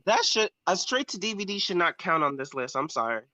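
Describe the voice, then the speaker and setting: Bright, forceful man in casual banter. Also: high-pitched, sing-song, brisk, no room sound. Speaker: panelist.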